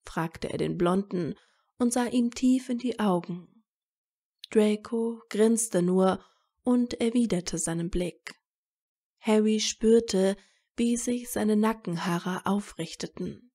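A woman's voice: medium pitch, slow, a little mellow. A woman narrating a scripted fan fiction story as a storyteller.

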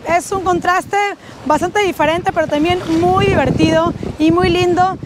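A young woman vlogging on a sunny beach and in the shallow sea, talking excitedly to her viewers through an action camera held at arm's length. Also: extremely high pitch, a little slow, heavy background noise. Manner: enthusiastic, addresses viewers as family. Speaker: travel vlogger. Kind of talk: upbeat travel vlog to camera.

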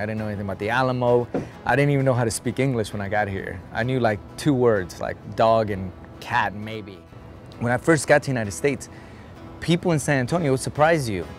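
A man in his forties, originally from Guatemala, talking about his life in an outdoor interview, in an earnest, conversational way. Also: lowish voice. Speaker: interviewee, artist. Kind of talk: casual interview talk. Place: outdoor patio in daylight.

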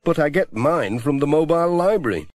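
A man's voice: fairly high pitch, animated, brisk, a little mellow, no echo, natural voice. Brief: man playing a cheerful village postman, easygoing and helpful, dry storybook recording. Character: friendly good-natured village postman. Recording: dry close audiobook recording.

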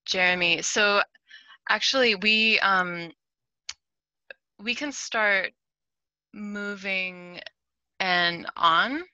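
A woman moderating a workshop on a video call, relaxed and informal, often trailing off quietly. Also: medium pitch, drawn-out words, pauses often, smooth, no room sound. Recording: dull, dry video call audio.

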